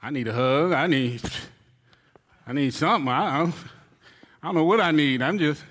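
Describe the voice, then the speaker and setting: A pastor delivers a sermon in a high, bright voice, acting out his story with loud comic cries and quick asides to the listeners. His voice rings through a church hall with a long reverb.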